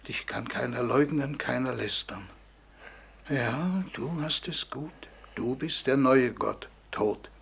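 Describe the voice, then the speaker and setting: An actor playing a helpless, forgotten old God, plaintive and lamenting, in a 1940s German radio play. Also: male voice, medium pitch, vocal fry, a bit bright, husky, heavily acted. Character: weeping, helpless old God nobody believes in.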